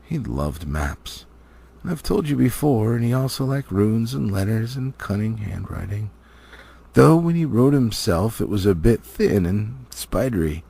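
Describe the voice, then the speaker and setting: A man reads a storybook aloud in an expressive narrating style. His voice is husky and creaky, and his pitch moves widely as he shapes each phrase deliberately and dramatically. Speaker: amateur audiobook reader.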